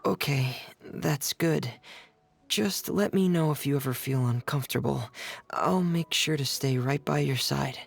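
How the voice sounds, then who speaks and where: A man playing a shy, earnest, soft-spoken teenage boy mechanic in a video game, reserved and polite. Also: mid-range voice, a little slow, vocal fry, faint music behind. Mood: calm, helpful.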